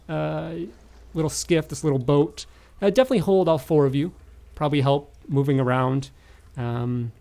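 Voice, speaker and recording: Man, game master, mid-pitched, creaky vocal fry, halting improvised narration, tabletop game. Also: darkish tone. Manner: halting, hesitant phrasing.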